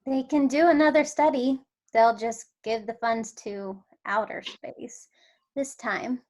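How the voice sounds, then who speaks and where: Woman acting a blunt, high-pitched space officer. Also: fairly even intonation, slow, darkish tone. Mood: warning. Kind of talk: table read of a comedy script.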